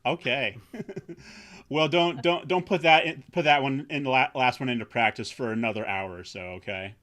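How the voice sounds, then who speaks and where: A man with a bright, forceful tone hosts a relaxed roundtable over a video call. His pitch sits in a middle range, and he keeps things easygoing with frequent laughter. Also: normal speed. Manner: laid-back, laughs easily. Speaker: host. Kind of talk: casual roundtable chat.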